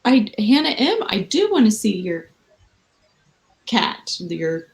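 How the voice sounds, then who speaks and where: A woman's voice: mid-range voice, very animated, slow. A woman in her thirties hosting a casual late-night video chat with fans from a hotel room, rambling and self-mocking. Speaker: livestream host. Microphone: video call.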